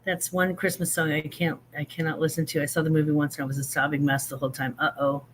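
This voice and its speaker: A woman's voice: deep voice, level tone, quick pace, slight background noise. An older woman, a grandmother, hosting a casual livestream, chatting in a rambling, self-deprecating way while answering viewers, a little stuffy from allergies. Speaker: livestream host.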